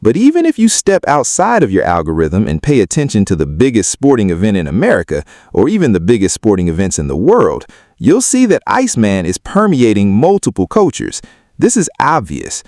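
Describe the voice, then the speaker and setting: A man's voice: fairly low pitch, a little mellow, dry recording, studio quality. A man giving opinionated video commentary in an emphatic, argumentative way. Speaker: video commentator on hip-hop.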